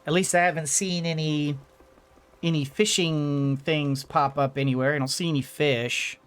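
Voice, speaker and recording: A man's voice: fairly high pitch, steady tone, normal speed, fairly bright tone, clean tone. A man giving casual let's-play commentary, rambling along and thinking aloud as he goes. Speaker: gaming video host.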